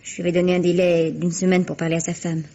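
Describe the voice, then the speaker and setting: A proud, defiant young woman in a vintage French radio whodunnit. She talks fast in a husky voice with theatrical bite. The recording sounds muffled and old. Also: fairly low pitch, little pitch movement, warm tone. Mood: bitter, defiant. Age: young adult. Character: spiteful, proud young woman, ambitious would-be film starlet. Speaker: actor. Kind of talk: 1950s French radio mystery acting.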